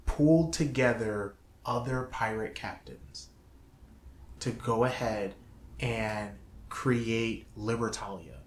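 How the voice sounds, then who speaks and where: Male show co-host, unhurried and halting, chatting casually in an echoey room. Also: lowish voice. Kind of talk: casual film and game review discussion. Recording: roomy, echoing sound.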